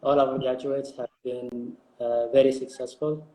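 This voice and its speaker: A man from Eritrea, working as an international student consultant, speaking haltingly as a guest on a live online chat. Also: mid-range voice, level tone, roomy sound, a crowd in the background, poor audio. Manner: halting, with fillers and restarts.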